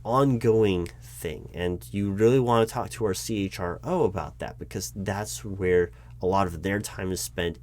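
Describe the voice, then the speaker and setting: A man acting as a corporate recruiting manager with a fairly low voice. He is frank and exasperated, speaking in a conversational roleplay style. He hesitates, backs up to correct his wording, and keeps explaining his point. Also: darkish tone, a little hiss or hum, lightly acted. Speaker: roleplay audio performer. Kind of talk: one-sided conversational audio roleplay.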